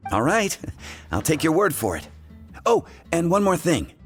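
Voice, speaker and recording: A man's voice: fairly high pitch, huge pitch swings, measured pace, gravelly, soft background music, full character voice. An actor playing a friendly bartender explaining the basics of his trade, chuckling as he talks. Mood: instructive. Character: friendly adult male bartender teacher. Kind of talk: game cutscene voice acting.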